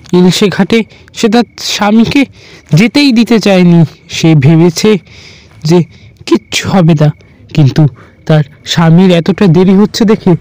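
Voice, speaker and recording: A man's voice: high voice, warm tone, no room sound, rough recording. A man narrating a horror cartoon story in a dramatic style.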